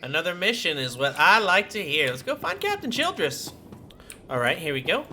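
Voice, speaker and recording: A man's voice: sits high, sing-song, moderate pace, sharp tone, slight background noise. A man giving casual gameplay commentary as a streamer, chipper and full of playful asides. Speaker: gaming streamer, host.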